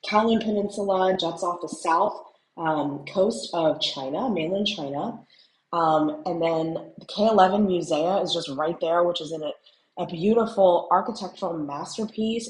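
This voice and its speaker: Lady touring a hotel suite, kinda low voice, gushing and a bit rambly, phone cam indoors. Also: normal speed, darkish tone, poor audio. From Houston, USA. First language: Cantonese. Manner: enthusiastic, admiring, mildly rambling with fillers. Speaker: real estate broker and vlog host. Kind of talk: hotel room tour vlog.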